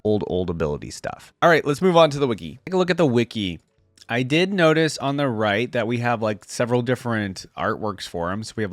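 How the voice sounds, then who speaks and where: Animated man giving casual reaction commentary. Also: mid-range voice, no room sound, studio quality. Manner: informal, thinking aloud, nitpicky. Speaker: video creator reacting to game content.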